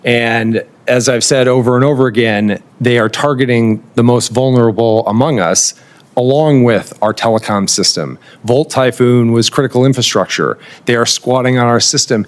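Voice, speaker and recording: A man testifying as a government nominee, measured and fluent in policy jargon. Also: medium pitch. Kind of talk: formal testimony.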